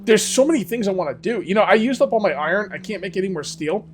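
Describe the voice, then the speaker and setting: A man with a hoarse, fading voice, casually commenting on his survival game as he plays and rambling to his viewers. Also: sits high, lively intonation, quick pace, slight background noise. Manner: rambling, self-correcting, talks to viewers. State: losing his voice. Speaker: gaming video host. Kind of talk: casual let's-play commentary.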